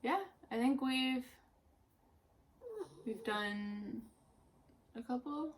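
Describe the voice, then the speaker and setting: A woman's voice: fairly high pitch, extremely slow, slight background noise. A woman in her early twenties chatting casually and unscripted on a vlog, rambling and peppering her sentences with "like". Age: early 20s. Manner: rambling, frequent "like". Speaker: co-host of a Disney fan vlog. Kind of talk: casual unscripted chat, answering tag questions.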